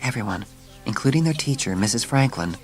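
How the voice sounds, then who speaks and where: A woman's voice: extremely low pitch, expressive, fairly quick. A woman reading a children's story as a scripted, dramatic audiobook narration. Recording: music under the narration. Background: music.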